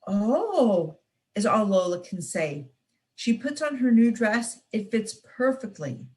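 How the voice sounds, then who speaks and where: A young woman student reading a children's picture book aloud, expressive and playful with the sound words. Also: fairly high pitch, a little slow. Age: young adult. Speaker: student reader.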